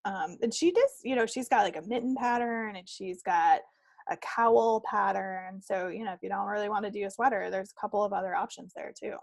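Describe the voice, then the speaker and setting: The folksy woman co-host on a homemade hobby podcast, with vocal fry and a close, dry sound. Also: medium pitch, fairly quick, dry recording. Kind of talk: casual chat.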